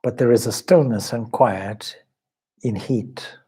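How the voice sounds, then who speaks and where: A man leading a guided meditation as a spiritual teacher, speaking in a measured, contemplative manner with long pauses and a husky voice. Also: medium pitch, dark tone.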